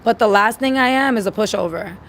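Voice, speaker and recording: A woman's voice: medium pitch, brisk, slight background noise. A woman with a New York accent chatting casually as an interview guest, blunt and assertive in slangy speech. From Queens, New York, USA.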